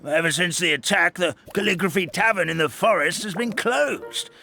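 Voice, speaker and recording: A man voicing a kindly, forgetful elderly forest creature in a video game, telling stories in a halting, rambling, theatrical way. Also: high-pitched, creaky, sharp tone, full character voice. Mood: grave, fearful. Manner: halting, rambling, theatrical storytelling with stammered repeats. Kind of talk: video game character storytelling. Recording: studio voice over background music.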